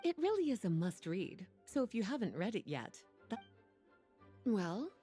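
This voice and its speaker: A woman voicing a poised, playful and knowing sorceress-librarian in a video game, with soft, breathy, unhurried, teasing delivery. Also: mid-range voice, big pitch swings, soft background music. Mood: knowing, explanatory. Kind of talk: video game character dialogue.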